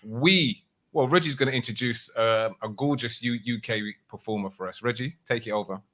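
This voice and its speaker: A man hosts a live show with a bright, forceful tone. He jokes around and trips over names now and then. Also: mid-range voice, moderate pace. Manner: jokey, stumbles over names. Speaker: podcast co-host.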